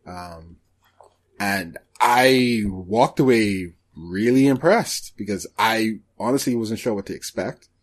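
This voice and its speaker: A man's voice: on the low side, slow. A man co-hosting a casual podcast about anime, speaking hesitantly and often trailing off. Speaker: podcast co-host.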